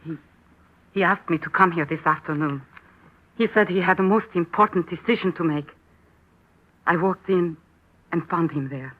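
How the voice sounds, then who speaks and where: An actor playing a grieving young woman in an old radio drama, speaking softly. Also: on the high side, normal speed, pauses often, lightly acted. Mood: hushed. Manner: soft, hushed. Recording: tinny old radio recording.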